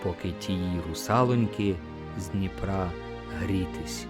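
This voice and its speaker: A man with a fairly low voice reads poetry as an audiobook narrator. His delivery is expressive and theatrical, acting out the quoted lines. Music plays clearly behind him. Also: slow pace. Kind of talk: expressive dramatic poetry recitation.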